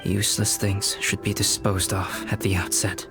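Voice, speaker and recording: A man playing a calm, aloof young scholar in a video game, speaking in a measured, formal, detached way. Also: on the low side, loud music behind the voice, close to an everyday voice.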